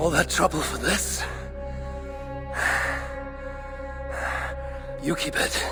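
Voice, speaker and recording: A woman's voice: sits low, moderate pace. Blunt, proud Norse warrior woman. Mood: dry, teasing. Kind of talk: video game cutscene dialogue. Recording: music behind the voice.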